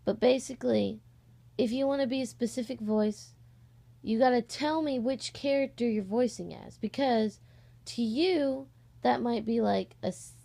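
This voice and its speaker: A woman's voice: fairly high pitch, measured pace, vocal fry, mellow. A sixteen-year-old girl giving a casual, rambling, hesitant announcement in an informal vlog style, on a cheap microphone. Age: 16, teenager. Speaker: amateur voice-acting channel creator. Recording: cheap, slightly distorted microphone.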